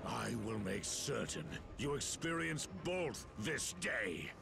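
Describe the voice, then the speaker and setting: A grim alien warlord from a video game, very slow and gravelly. He declaims with theatrical menace, swinging widely in pitch under loud music. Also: very animated, exaggerated voice. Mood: grim, fervent. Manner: grandiose, theatrical, drawn-out menace. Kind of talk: video game villain acting.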